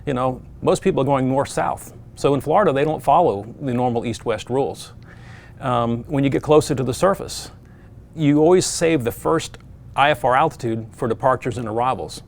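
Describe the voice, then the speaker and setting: A middle-aged man, an air traffic controller, giving plain practical advice to pilots with frequent fillers. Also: medium pitch. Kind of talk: explaining procedures to pilots.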